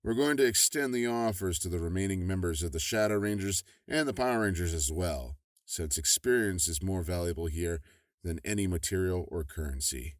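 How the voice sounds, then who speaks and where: Brief: man as a smooth, folksy government official, very low voice, dry studio sound. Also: lively intonation, brisk, no room sound. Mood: affable. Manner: affable, persuasive, chuckling. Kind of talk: audio drama acting.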